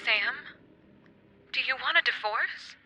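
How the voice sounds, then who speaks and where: A woman's voice: fairly high pitch, slow pace. A woman playing a polite young 1950s housewife and mother in a radio drama. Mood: heartbroken, serious.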